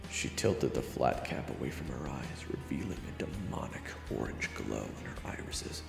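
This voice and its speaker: A man with an extremely low, gravelly voice tells a dramatic story. His delivery is hushed and confiding, with music playing behind him. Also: very animated, normal speed. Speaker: narrator and storyteller.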